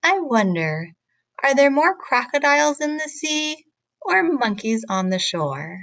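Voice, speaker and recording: A woman's voice: sits high, big pitch swings, unhurried, gravelly, fairly bright tone, dry recording, voice pushed up, full character voice. Woman reading as clever, bold little monkey. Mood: wondering. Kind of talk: storybook character reading.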